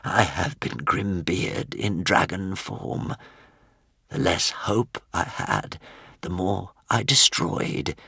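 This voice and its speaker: Man voicing a dying old dragon, gravelly whisper. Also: low-pitched, lively intonation, bright, forceful tone, no room sound, clean studio sound, full character voice. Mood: weary, remorseful. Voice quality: weak, trembling, breathy whisper. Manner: slow, grave, halting delivery. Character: huge old dying male dragon, solemn and remorseful.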